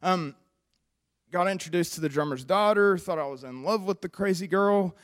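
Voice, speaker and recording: A man in his twenties with a West Virginia accent, giving an earnest, conversational personal testimony to a church audience with frequent pauses. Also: high voice. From West Virginia, USA. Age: 20s. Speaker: recovery program member giving testimony.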